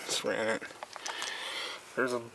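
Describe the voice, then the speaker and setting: A man's voice: slow, noisy background. An American man giving an informal, laid-back walk-through for a casual vlog, recorded handheld outdoors. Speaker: vlogger, mobile welder.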